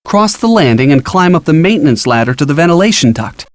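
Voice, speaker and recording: An actor playing a helpful, upbeat college-age boyfriend with an American accent, giving casual, encouraging detective hints in an adventure game. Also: male voice, on the high side, sing-song, quick pace. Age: young adult. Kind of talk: scripted game hint dialogue.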